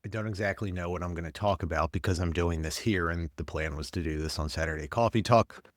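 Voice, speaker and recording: A man's voice: sits low, fast, no echo, clean studio sound. A man hosting a podcast, sounding congested and stuffed up, rambling and blunt in casual commentary. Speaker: podcast host, paramedic.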